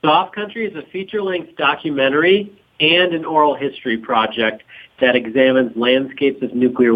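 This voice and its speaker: A man on a remote phone call, explaining his work as an interview guest in a conversational style. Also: a little high. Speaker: interview guest, documentary co-director and professor.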